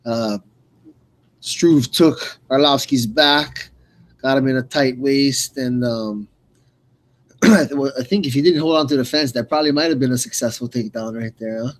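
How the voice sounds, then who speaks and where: A man with a medium-pitched voice works as the analyst on a fight broadcast. His commentary is casual and slangy, broken by hesitant pauses as he talks through the action.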